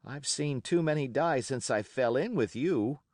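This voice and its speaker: A man voicing a bold young cabin boy who speaks in an excited, defiant rush. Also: mid-range voice, a little slow, dry recording, clean studio sound, pitched above the performer's own voice. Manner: breathless, rising, defiant pleading.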